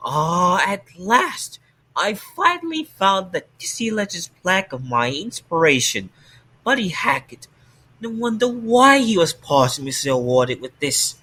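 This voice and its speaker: A text-to-speech man plays an eager fan who longs to voice a beloved cartoon bird. The voice sounds bright and a little tense. Every phrase comes out stiff and machine-even. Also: sits high, a little slow, slightly rough, exaggerated voice. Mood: excited. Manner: stiff synthetic delivery. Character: hopeful male fan who dreams of voicing a seagull.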